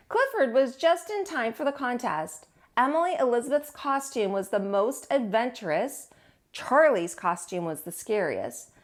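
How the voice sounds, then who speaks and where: A woman hosting storytime, reading aloud to young children with an expressive, teacherly delivery. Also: sits high.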